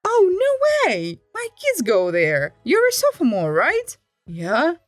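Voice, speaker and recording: A man's voice: extremely high pitch, huge pitch swings, slow, no echo, soft background music, voice pushed up, full character voice. A woman voicing a chatty, friendly middle-aged male air ambulance medic who is eager to make small talk. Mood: friendly, excited.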